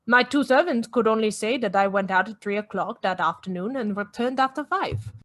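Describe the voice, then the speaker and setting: A woman's voice: mid-range voice, fairly quick, dry recording, clean studio sound, close to an everyday voice. An actress playing a composed young woman under police questioning in a radio play, curt and guarded.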